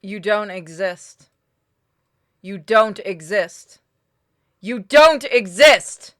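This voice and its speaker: A woman's voice: mid-range voice, expressive, extremely slow, fairly bright tone. A woman giving casual, blunt commentary as she plays through a game. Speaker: game streamer. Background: game music.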